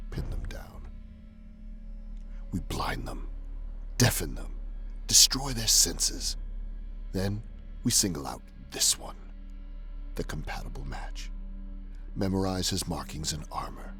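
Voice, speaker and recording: Man acting obsessed warlord commander, very deep voice, commanding, menacing, measured, faint music, audio drama. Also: bright, forceful tone, exaggerated voice. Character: ruthless obsessed male renegade army commander.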